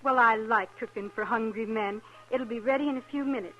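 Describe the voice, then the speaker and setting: An actress with an American accent playing a homely, plain-spoken storekeeper's wife in a 1950s radio western. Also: female voice, on the high side, brisk, a bit bright, highs cut off, natural voice. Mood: hospitable, wry.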